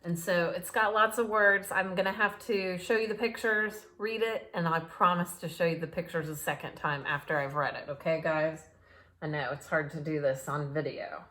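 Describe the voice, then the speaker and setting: A woman's voice: on the low side, steady tone, moderate pace, pushed and bright. A woman reading a children's story aloud dramatically, with chatty asides to her young listeners. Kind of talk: animated read-aloud storytelling.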